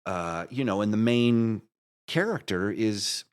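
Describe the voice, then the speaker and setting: A man answering questions as an interview guest in a casual, rambling way, often halting and interrupting himself. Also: on the low side, expressive, clear, resonant tone. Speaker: interview guest, marketer and musical creator.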